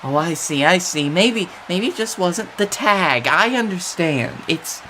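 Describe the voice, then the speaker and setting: Nervously upbeat pro wrestler, played by a man, slow emphatic pleading, faint noise behind. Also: sits high, lively intonation, heavily acted. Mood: nervous, placating. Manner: halting, emphatic, swoops from soft to pleading. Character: pleading, nervously upbeat male wrestler coaxing his brother. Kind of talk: wrestling promo acting.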